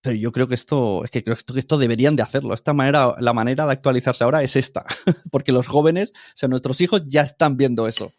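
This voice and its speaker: A man's voice: mid-range voice, fast, a little mellow, a little hiss or hum. A man with a Spain Spanish accent talking casually as an interview guest. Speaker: podcaster guest.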